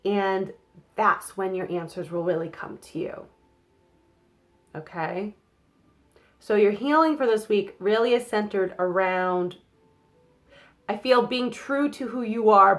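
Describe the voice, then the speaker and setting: A young woman with a slightly nasal, stuffy voice from a cold talks her viewers through a card reading. Her delivery is loose and conversational, full of fillers and "okay?" checks. Her pitch sits in an everyday middle range. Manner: chatty, many fillers and tag questions. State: has a cold. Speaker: psychic card reader. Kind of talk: casual tarot-style reading to camera.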